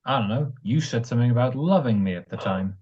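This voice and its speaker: A woman playing a smug, languid husband in an old-time radio drama, answering with husky, mock-polite sarcasm. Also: rumbling low, expressive, quick pace, mellow, dull audio, heavily acted. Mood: dry, sardonic. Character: smug, languid, sardonic husband, lazily mocking.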